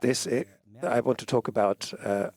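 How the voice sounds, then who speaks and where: A man's voice: mid-range voice, steady tone, moderate pace, a little mellow. Hesitant man giving a panel talk. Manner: hesitant, many fillers, clears throat. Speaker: press freedom advocate on a panel.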